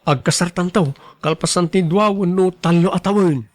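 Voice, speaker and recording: A man's voice: high-pitched, normal speed, dry recording, natural voice. A man acting a shy, hesitant young driver in a radio drama, stammering bashfully.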